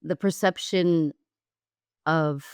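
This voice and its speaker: A woman hosting a podcast, first generation in the US with Jamaican and Guyanese roots, talking in an animated, emphatic way. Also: sits low, drawn-out words, clear, resonant tone, no room sound, clean studio sound. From Jamaican and Guyanese heritage, first generation in the US. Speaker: podcast host. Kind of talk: conversational interview.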